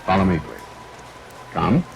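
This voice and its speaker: A man's voice: deep voice, huge pitch swings, measured pace, vocal fry, heavily acted. A man playing a genteel, droll mystery show host, speaking formally in vintage radio style, on an old recording. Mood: droll, courteous. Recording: vintage radio recording, faint rain noise.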